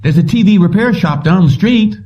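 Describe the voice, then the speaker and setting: A man's voice: high-pitched, animated, brisk, voice pushed up. A man voicing an easygoing young waiter with casual, folksy small-town talk in an audiobook. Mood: casual, helpful. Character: easygoing young male lunch counter waiter. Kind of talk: audiobook character dialogue. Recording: dull lo-fi, distant from mic.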